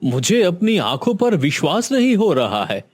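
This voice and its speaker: A man's voice: high voice, big pitch swings, normal speed, husky, exaggerated voice. A man voicing a solemn male doctor giving grim advice in a Hindi cartoon. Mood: grave. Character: grave male village doctor.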